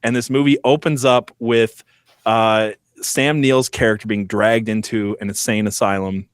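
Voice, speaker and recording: A man's voice: lowish voice, steady tone, moderate pace, smooth, no echo, studio quality. A man hosting a podcast, talking about a film in an easy, enthusiastic way and laughing often. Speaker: podcast host. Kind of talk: casual movie discussion.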